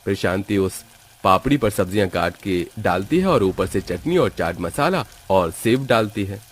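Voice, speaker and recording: A man's voice: on the low side, lively intonation, moderate pace, slightly rough. A man reading scripted narration for a cartoon moral story as its storyteller. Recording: background music under the voice.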